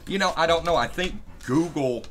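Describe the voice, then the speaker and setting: A man co-hosting a live stream in casual, halting and rambling chat. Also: on the high side, a crowd in the background. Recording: home live stream with background noise.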